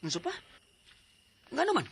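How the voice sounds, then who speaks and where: A man's voice: very high-pitched, huge pitch swings, moderate pace, gravelly, fairly bright tone, a little hiss or hum, heavily acted. A man with a Cebuano accent acting a dutiful, hopeful young nurse son in a radio drama, affectionate and reassuring. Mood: alarmed. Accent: Cebuano Filipino. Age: young adult.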